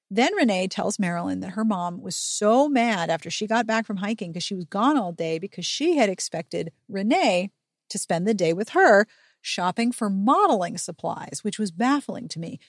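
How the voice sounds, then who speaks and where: A woman's voice: medium pitch, lively intonation, normal speed, no room sound, studio quality. A woman hosting a podcast, giving a casual, chatty recap with wry, emphatic asides and informal language. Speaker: podcast host.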